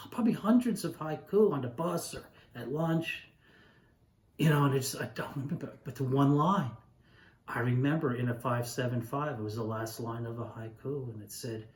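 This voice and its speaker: An echoey room surrounds a mature man with a mid-pitched voice. He speaks as a poet sharing his work and memories, in a conversational and reflective manner that can be halting. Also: darkish tone. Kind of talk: poetry reading and informal reminiscence.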